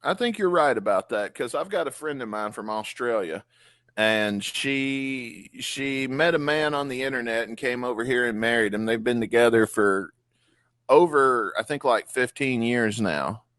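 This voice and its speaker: A man with a Southern accent hosts a relaxed podcast chat, talking freely and laughing often. His voice sits at an ordinary middle pitch, recorded close and dry with no room around it. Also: no echo. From Tupelo, Mississippi. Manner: chatty, laughs often, drops into quick impressions. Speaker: podcast host. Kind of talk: casual interview chat.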